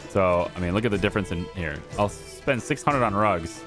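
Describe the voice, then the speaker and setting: A man with a lowish voice, hoarse as though he is losing it, talks his way through a video game in a casual let's-play. He mutters half to himself and half to his viewers, and game music plays steadily underneath. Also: moderate pace. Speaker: gaming YouTuber.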